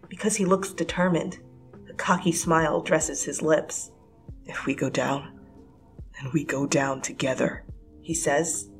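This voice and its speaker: A woman with a lower voice narrates a dramatic second-person story. She drops into hushed whispers and then rises breathlessly when the action picks up. Soft music plays quietly underneath. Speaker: fanfiction reader.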